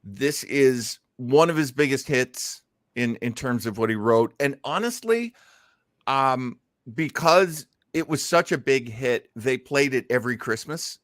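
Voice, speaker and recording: A man's voice: mid-range voice, lively intonation, unhurried, a bit bright, dry recording, clean studio sound. A man hosting a show in a casual, unscripted way, shifting between theatrical announcing and rambling chat. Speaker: show host.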